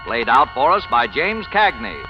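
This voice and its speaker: A man's voice: mid-range voice, sing-song, a little slow. A man announcing a 1940s radio drama in a theatrical, declamatory style. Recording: muffled old radio recording, music behind.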